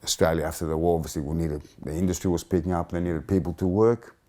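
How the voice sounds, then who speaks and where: A Greek-born man in his fifties with a Greek accent, reminiscing in a casual interview with halting restarts. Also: deep voice, fairly even intonation, warm tone. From Greece. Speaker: interviewee, blues musician.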